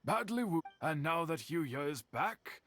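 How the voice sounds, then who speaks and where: A man's voice: on the high side, very animated, measured pace, a bit bright, soft background music, full character voice. A man voicing a genial, articulate young samurai official in an anime game. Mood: thoughtful, pleased.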